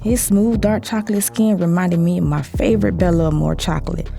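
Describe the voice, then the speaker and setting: A woman's voice: on the low side, fairly even intonation, a little fast, mellow. A young American woman narrating an audio drama in the first person, sassy, gossipy and confiding, as if talking to a friend. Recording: music bed behind the voice. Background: clear background music.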